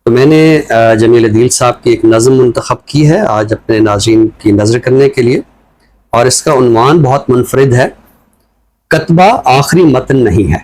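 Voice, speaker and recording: A man with a Pakistani accent giving reflective talk show commentary in a deliberate, explanatory way, often posing rhetorical questions. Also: medium pitch, darkish tone, rough recording.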